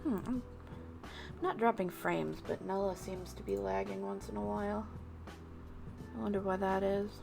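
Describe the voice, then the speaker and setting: A woman's voice: mid-range voice, fairly even intonation, creaky. Woman chatting casually over music. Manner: unscripted musing, addressing chat, with pauses. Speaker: VTuber livestreamer. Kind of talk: casual livestream chat with viewers.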